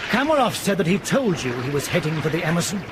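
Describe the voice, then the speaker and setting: An actor playing a weary, remorseful ship's captain in a radio drama, halting and clipped. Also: male voice, sits high, very animated. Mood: bitter. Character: weary, bitter, remorseful male skipper in command. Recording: radio drama with clear background noise.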